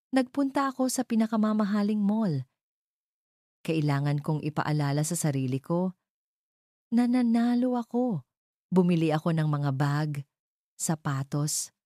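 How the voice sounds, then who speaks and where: A woman narrates a scripted romantic drama in the first person. Her voice sits low and has a soft, dark tone. The sound is close and dry, with no room on it. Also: no echo. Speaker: story narrator.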